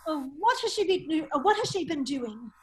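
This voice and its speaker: A woman playing a witty, foppish English gentleman with a secret life, in an affected drawling manner in an amateur drama reading. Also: sits high, very animated, moderate pace, a little hiss or hum. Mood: weary, guarded. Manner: affected, languid, drawling, exclaiming.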